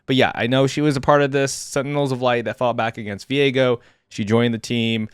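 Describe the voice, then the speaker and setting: A man gives casual reaction commentary in an enthusiastic, fannish way. His voice sits at an average male pitch. The sound is dry and close, with hardly any room on it. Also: flat delivery, brisk, smooth, dry recording. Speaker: reaction video host.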